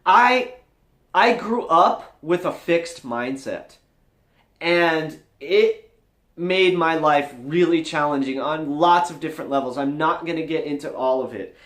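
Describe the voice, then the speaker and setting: Earnest man with lively, high-pitched delivery. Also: unhurried, reverberant. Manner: earnest, confessional, emphatic. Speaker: vlog host. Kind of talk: candid motivational vlog talk to camera.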